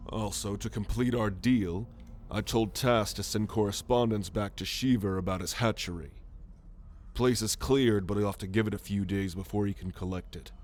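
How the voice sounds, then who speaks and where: A man voicing a proud, gruff alien military renegade in an ASMR roleplay, haughty and sardonic in a measured delivery. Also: on the low side, fairly even intonation, slight background noise, close to an everyday voice. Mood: pragmatic, brusque. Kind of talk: ASMR roleplay monologue to the listener.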